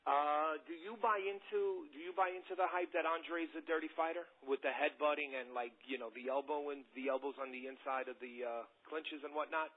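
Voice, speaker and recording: Higher-voiced male reporter on tinny phone. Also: steady tone, fairly quick, few pauses. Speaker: boxing reporter. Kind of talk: casual press questioning. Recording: tinny narrow phone line.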